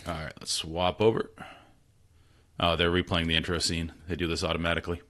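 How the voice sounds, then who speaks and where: A man's voice: little pitch movement, fairly quick, fairly bright tone. A man streaming games from a small spare room at home, chatting casually and self-deprecatingly with viewers. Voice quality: low voice. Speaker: gaming streamer. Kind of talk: casual live-stream chat. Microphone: desk mic. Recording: slightly tinny home stream mic, faint noise.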